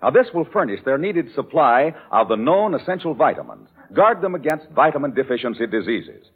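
A man's voice: sing-song, fairly quick, breathy edge. An American man reading an old-time radio advertisement as an earnest, instructive announcer. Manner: earnest, instructive sales pitch. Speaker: commercial announcer. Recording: narrow, phone-like old radio recording.

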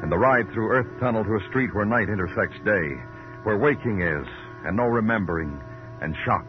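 An actor playing a weary, brooding American police detective in a dramatic old radio crime drama, speaking in clipped, poetic phrases. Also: male voice, fairly low pitch, moderate pace, lightly acted. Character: weary, brooding male police detective narrating his case. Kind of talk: 1940s-50s radio crime drama acting. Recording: dull old radio recording, loud music.

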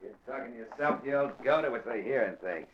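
A man's voice: mid-range voice, expressive, fast, slight background noise, natural voice. An actor playing a cruel, taunting thug guard in a 1940s radio drama, gloating tough-guy talk. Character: sneering thug male guard who enjoys cruelty. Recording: dull lo-fi old radio recording.

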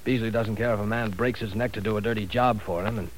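A man's voice: lowish voice, steady tone, quick pace, close to an everyday voice, low-quality recording. A man playing a conscience-stricken professor in an old radio drama, bitter and tense. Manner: bitter, tense, rising to outbursts. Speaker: teacher. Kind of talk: 1940s radio acting. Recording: faint hiss of an old radio recording.

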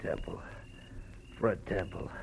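An actor playing an injured male insurance investigator, groggy and in pain, halting and mumbling in 1940s radio style. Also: natural voice. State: weak, in pain, fading. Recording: noisy old radio drama recording.

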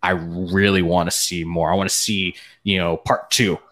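A man's voice: low voice, flat delivery, normal speed, a bit bright. A man on a podcast panel, chatting casually and slangily as a fan.

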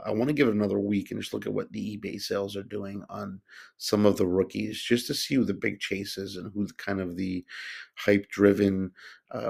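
A man's voice: fairly low pitch, vocal fry, darkish tone, husky. A man hosting a solo podcast, talking casually and rambling with lots of fillers and restarts. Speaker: podcast host.

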